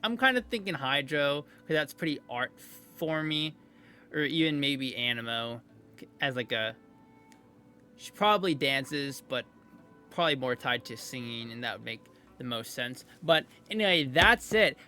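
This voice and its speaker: A man's voice: mid-range voice, animated, a little slow, bright, forceful tone, faint music behind. A man hosting a video in a casual, rambling, informal reaction commentary style. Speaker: video host commenting on game voice lines.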